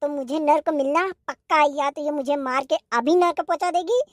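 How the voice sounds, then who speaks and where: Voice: sits high, fairly even intonation, moderate pace, close to an everyday voice. A performer voicing a henpecked, wisecracking young husband in a cartoon comedy, placating and grumbling. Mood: despairing. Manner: placating, mock-poetic, grumbling.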